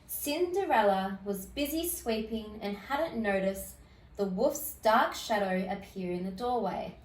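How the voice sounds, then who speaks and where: Slow-paced woman reading a children's story. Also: medium pitch, lively intonation, echoey room, distant mic, rough recording. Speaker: storybook reader. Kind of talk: expressive children's story read-aloud.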